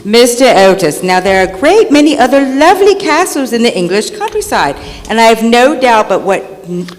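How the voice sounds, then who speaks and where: A woman's voice: a little high, very animated, normal speed. Woman as a genteel, lilting lady official. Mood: placating. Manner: formal, genteel, persuasive. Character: polite, proper, conciliatory lady presiding over a hearing. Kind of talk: old-time radio drama acting on stage. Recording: echoey hall, faint noise.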